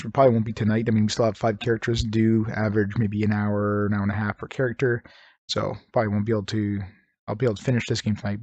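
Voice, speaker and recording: A man's voice: on the low side, a little mellow, no room sound, dull audio. A man giving casual let's-play commentary, slightly nasal and congested as his voice recovers, rambling with chuckles and fillers. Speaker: game streamer.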